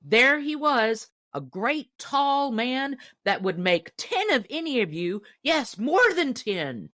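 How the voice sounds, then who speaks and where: A woman voicing an indignant, boastful male hunter in a storybook, with folksy backwoods phrasing and an angry, excited shout. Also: high voice, slow, no room sound, studio quality, pitched above the performer's own voice, exaggerated voice. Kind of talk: storybook character voice in audiobook reading.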